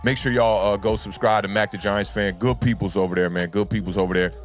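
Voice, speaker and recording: A young adult man with a deep baritone voice, under the weather, hosting a casual sports livestream and chatting with fans. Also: fairly even intonation, fairly quick, soft background music. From United States. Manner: laid-back, repeats "man" and "y'all know what it is". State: under the weather, deeper voice than usual. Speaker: sports talk livestream host.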